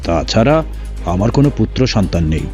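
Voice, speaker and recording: A man's voice: fairly low pitch, expressive, quick pace, darkish tone, faint music behind, natural voice. A man voicing an ageing, worried village father in a fairy-tale cartoon, admonishing and complaining on a dull recording.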